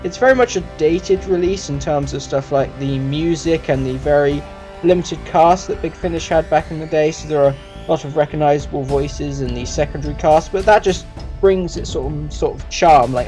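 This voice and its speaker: Male video reviewer, slightly higher-pitched voice, casual commentary, light guitar music underneath. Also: darkish tone.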